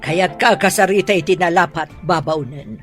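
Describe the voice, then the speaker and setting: A woman's voice: fairly low pitch, normal speed, over music, close to an everyday voice. A woman with an Ilocano accent playing a haughty, domineering rich mother in a radio drama, imperious and scolding. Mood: imperious, angry.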